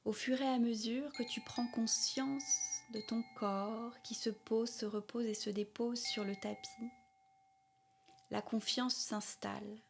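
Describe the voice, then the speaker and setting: A woman guiding a yoga nidra meditation in a soft, breathy, calm and soothing voice, with long pauses and repeated phrasing. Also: on the high side, faint music behind. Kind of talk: guided meditation, spoken slowly.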